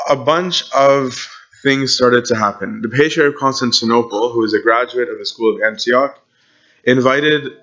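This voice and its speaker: A man's voice: mid-range voice, a bit bright, dull audio. A man giving an informal church history lecture, often checking in with his listeners by asking "okay?" and "right?". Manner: conversational, frequent "okay?" and "right?" check-ins. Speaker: church teacher.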